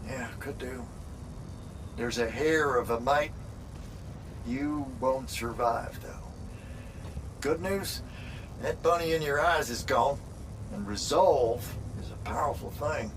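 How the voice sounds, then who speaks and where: An actor playing a blunt veteran battlemage mentor in a video game, dry and colloquial in clipped phrases. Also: male voice, slow pace, pauses often, background noise, lightly acted. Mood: grave, wry. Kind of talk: dramatic video game acting. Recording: loud rumbling ambience behind the voice.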